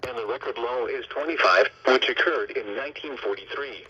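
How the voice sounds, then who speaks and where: A man's voice: medium pitch, fairly quick, sharp tone, husky, highs cut off. A man reading a formal scripted weather bulletin as a radio announcer, heard through a radio speaker. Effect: played through a radio speaker.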